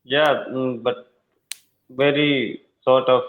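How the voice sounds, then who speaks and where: A man's voice: mid-range voice, steady tone, echoey room. Hesitant Indian man on a tinny call. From northern India. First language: Hindi. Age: 20s. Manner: hesitant, halting English with many fillers. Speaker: English learner. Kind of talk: casual video-call conversation practice. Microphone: video call. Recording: tinny phone-like call audio.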